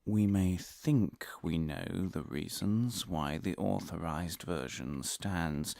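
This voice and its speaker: A man's voice: sits low, slow, gravelly, dark tone, no room sound, exaggerated voice. An actor playing an earnest, muddled, pompous male academic obsessed with conspiracy, giving a theatrical, digressive comic lecture. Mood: earnest, self-justifying. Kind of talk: comic monologue delivered as a scholarly lecture.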